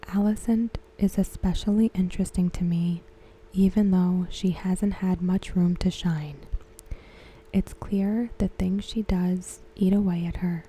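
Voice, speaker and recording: A woman giving ASMR commentary in a hushed, gentle, breathy, whispery voice. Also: on the low side, steady tone, a little hiss or hum, poor audio. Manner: hushed, gentle, unhurried delivery. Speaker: ASMR content creator reviewing a TV episode. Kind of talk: ASMR soft-spoken commentary.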